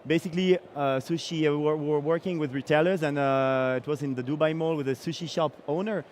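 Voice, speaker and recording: A man from Belgium, middle-aged, pitching his startup on stage with a slightly raised voice for a man. He keeps halting with fillers and restarting his sentences. There is faint traffic noise outside. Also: fairly even intonation. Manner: hesitant, many fillers and restarts. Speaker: startup founder.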